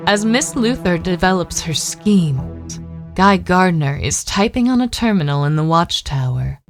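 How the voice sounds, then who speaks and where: A woman's voice: lowish voice, lively intonation, a little slow, soft background music. A woman narrating a scripted audio drama, setting each scene.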